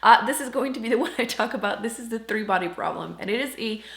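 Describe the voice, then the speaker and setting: A woman's voice: a little high, fast, creaky, fairly bright tone. A woman talking casually to camera as a book reviewer, chatty and informal, putting emphasis on key words. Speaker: book reviewer and channel host. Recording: roomy indoor echo.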